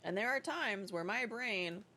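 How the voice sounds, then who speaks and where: A woman's voice: medium pitch, lively intonation, measured pace, sharp tone. A woman streamer whose first language is not English, chatting casually with her viewers, swearing freely and laughing often.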